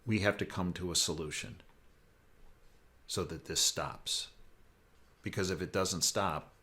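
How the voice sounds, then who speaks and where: Low-voiced American man hosting an interview. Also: unhurried, pushed and bright. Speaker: interview host. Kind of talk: conversational current-affairs interview.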